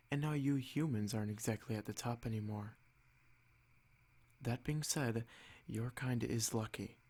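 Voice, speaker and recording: A man voicing an arrogant, possessive male vampire in a measured, softly taunting ASMR roleplay monologue. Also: medium pitch, natural voice.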